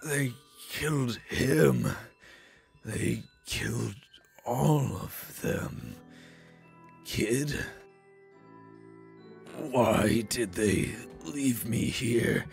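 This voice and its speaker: A man voicing an anguished, bitter skeleton with a husky, creaky voice and halting muttering, in a comic dub. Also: medium pitch, full character voice. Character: broken, anguished undead skeleton, bitter and confused. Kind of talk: dramatic comic dub voice acting. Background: music.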